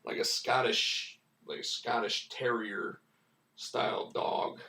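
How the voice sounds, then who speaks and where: A man hosting a casual live stream, going hoarse and rambling with lots of fillers and restarts. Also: medium pitch, animated, slow pace, pushed and bright, roomy sound, recorded from a distance. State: losing his voice, hoarse. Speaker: comic book writer and stream host.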